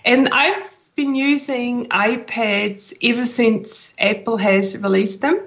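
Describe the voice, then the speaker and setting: A woman's voice: on the high side, fairly even intonation, slow, a bit bright, poor audio. A woman from Switzerland with a Swiss accent, chatting casually as an interview guest.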